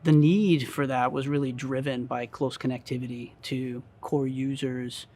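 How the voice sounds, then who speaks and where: A man talking as a product expert in an interview, explaining things in measured, deliberate phrases. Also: medium pitch, fairly even intonation, darkish tone. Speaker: audio company product expert.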